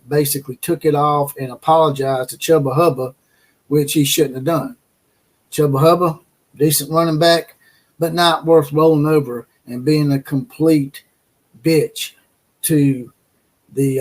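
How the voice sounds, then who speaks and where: A man hosting a college football commentary channel, ranting with crude, mocking opinions and frequent fillers and restarts. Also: a little high.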